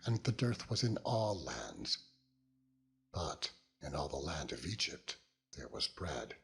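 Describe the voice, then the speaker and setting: A man narrating a dramatized Bible reading in a solemn, measured and dramatic manner. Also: rumbling low, big pitch swings, gravelly. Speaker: scripture narrator. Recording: loud music under the voice.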